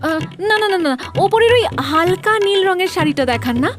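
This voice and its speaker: A woman's voice: very high-pitched, expressive, fairly quick. A woman voicing a demanding, nagging young wife in a comic cartoon, pouting theatrically. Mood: whiny, demanding. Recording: music behind the voice.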